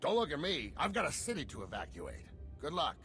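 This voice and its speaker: Bright, cheeky comms guy. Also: on the high side, huge pitch swings, brisk, faint music behind. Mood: breezy. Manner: casual, flippant. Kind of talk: video game cutscene acting.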